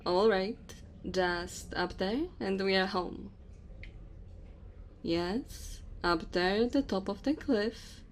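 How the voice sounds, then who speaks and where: A woman as a slow-talking, haughty, teasing dragon woman. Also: medium pitch, slight background noise. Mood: gentle, reassuring. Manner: haughty, teasing, addresses listener directly. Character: dominant, teasing immortal dragon woman claiming a human mate. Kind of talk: ASMR roleplay. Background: cave ambience and fire sound effects.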